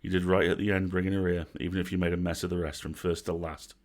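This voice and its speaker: A man's voice: sits low, little pitch movement, fast talker, lightly acted. A man voicing a blunt, fierce, weary old grandmother in a storybook, scolding and curt. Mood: weary reproach.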